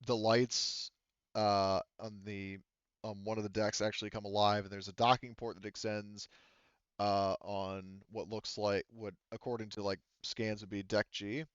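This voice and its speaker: A man improvising narration as game master in a conversational way, halting as he searches for words and casually profane. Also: on the low side, little pitch movement, clear, resonant tone, dry recording, muffled recording. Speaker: game master of a tabletop roleplaying game.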